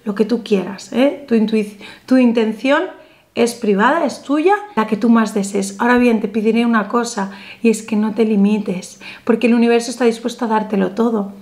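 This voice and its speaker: Woman, 30s, ritual vlogger, animated, slightly high voice, slow emphatic affirmations. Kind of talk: instructional ritual talk to camera. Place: small indoor room with a painted backdrop.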